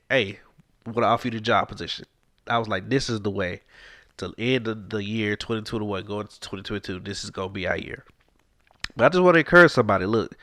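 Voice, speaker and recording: A man's voice: on the low side, a bit bright, no room sound, clean studio sound. A man, hosting a casual podcast with a stuffed-up, congested nose, earnest and encouraging and sliding into preacher-like exhortation. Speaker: podcast host.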